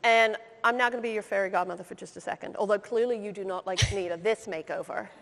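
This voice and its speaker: A woman leading a live coaching session on stage, lively, teasing and blunt. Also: mid-range voice, fast talker, gravelly, slight background noise. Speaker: career coach, workshop leader. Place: conference stage with audience. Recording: long hall reverb.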